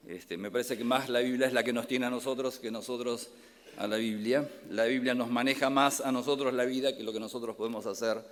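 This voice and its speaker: A man from Córdoba, Argentina, with a Córdoba accent, preaching a sermon as a pastor in a gentle way, pausing often on "eh". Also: medium pitch. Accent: Argentine Spanish, Córdoba. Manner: gentle, warm-hearted, many filler "eh" pauses. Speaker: pastor and Bible professor. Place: large church hall. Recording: long hall reverb.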